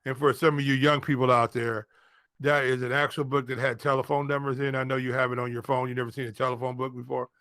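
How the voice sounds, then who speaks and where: A man's voice: mid-range voice, level tone, quick pace, fairly bright tone, no room sound, studio quality. A man from Indiana co-hosting a casual sports chat in a joking, folksy way, often stammering over repeated words. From Indiana, United States. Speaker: sports podcast co-host. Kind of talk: casual fantasy football chat.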